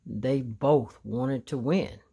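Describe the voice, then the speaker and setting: A man's voice: mid-range voice, slow pace, vocal fry, dark tone, husky. A man reading a children's story aloud as narrator. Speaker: storybook reader.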